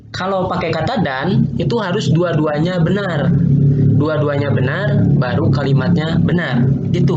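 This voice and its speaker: Male teacher, patient, slightly high voice, echoey room. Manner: patient, repeats points, asks quiz questions. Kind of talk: online logic lesson. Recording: roomy, dull lo-fi online class audio with faint noise.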